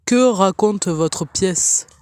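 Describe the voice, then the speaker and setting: Slow-paced, higher-voiced man reporting. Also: darkish tone, clean tone, no room sound. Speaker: field reporter and interviewer. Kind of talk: scripted on-location report and short interview questions.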